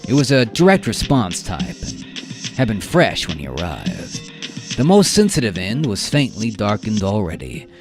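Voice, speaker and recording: A man narrating a science fiction radio drama in a deliberate, theatrical way with dramatic pauses. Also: lowish voice, huge pitch swings. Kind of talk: dramatic scripted story narration. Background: music with guitar.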